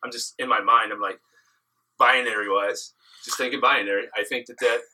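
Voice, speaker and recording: A male podcast host holds forth with animated stories and confident opinions. He has a bright voice at a middling pitch for a man. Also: normal speed, poor audio. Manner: animated, storytelling, lecturing tone. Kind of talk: casual chat.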